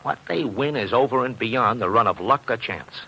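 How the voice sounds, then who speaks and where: Bright, slangy, worried radio betting man. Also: medium pitch, normal speed, husky. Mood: worried, frustrated. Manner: slangy, hard-boiled talk. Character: worried, slangy big male betting agent. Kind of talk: 1950s radio drama acting. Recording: noisy old radio recording.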